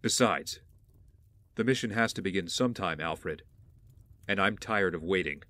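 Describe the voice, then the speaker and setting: A man's voice: low voice, expressive, moderate pace, pushed and bright, no room sound. An actor playing a brooding, resolute rich heir in an audio drama, earnest and accusing as he speaks of justice. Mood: grim, resolute. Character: brooding, intense wealthy male heir bent on justice.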